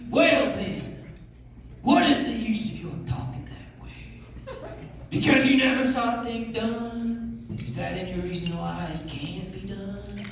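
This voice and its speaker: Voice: mid-range voice, unhurried, close to an everyday voice. An actor playing a brash, boastful old teller of tall tales in a readers theatre show, theatrical and indignant when doubted. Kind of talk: readers theatre storytelling on stage. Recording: echoey room from far off, noisy.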